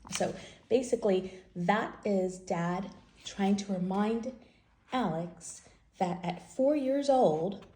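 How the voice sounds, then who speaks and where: A woman's voice: mid-range voice, slow, dark tone, reverberant, slight background noise. A woman hosting a children's read-aloud, telling the story and explaining it in simple, repeated phrases for young listeners.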